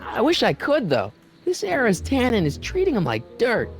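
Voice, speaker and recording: An actor playing a quick-thinking American teenage boy in a video game, casual and earnest in delivery. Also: extremely high pitch, huge pitch swings, soft background music, full character voice. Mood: wistful.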